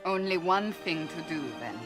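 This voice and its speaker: A woman's voice: lowish voice, lively intonation, moderate pace, loud music behind the voice, natural voice. An actress playing a resolute, earnest woman warrior in a fantasy game, speaking formally and seriously. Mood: businesslike. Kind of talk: fantasy game cutscene dialogue.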